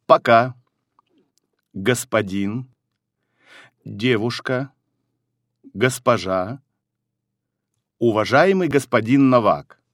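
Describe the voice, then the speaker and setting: A man reading phrases for a language course, one by one with pauses, in a careful, clearly enunciated delivery. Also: medium pitch, a bit bright, no room sound, clean studio sound. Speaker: phrasebook reader.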